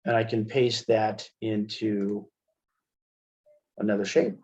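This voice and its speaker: Slow-paced man presenting a software tutorial. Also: on the low side, level tone. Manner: informal, step-by-step explaining. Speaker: instructor, webinar presenter. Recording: dull, lo-fi webinar audio.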